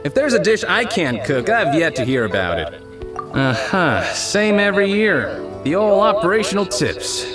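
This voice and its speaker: An actor playing a proud, cheerful street-food cook in a game, brash and confident. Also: male voice, high-pitched, big pitch swings, moderate pace. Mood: boastful. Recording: game audio with loud music behind.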